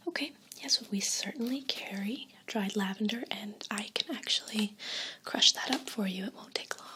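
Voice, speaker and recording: A woman gently whispering an ASMR role play as an attentive, unhurried shop clerk, close to a binaural mic. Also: medium pitch. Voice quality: breathy whisper. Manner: gentle, unhurried, attentive customer-service patter. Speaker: ASMR performer playing a herb shop clerk. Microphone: close binaural microphone. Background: crinkling and rustling paper sounds.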